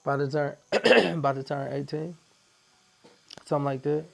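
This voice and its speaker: A man in his forties from Missouri chats as a guest in a tattoo studio. His voice sits fairly high with a gravelly, creaky texture. He keeps things relaxed and jokey, with frequent laughs. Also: normal speed. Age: 40s. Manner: relaxed, joking, laughs often. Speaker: podcast guest. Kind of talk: casual conversation.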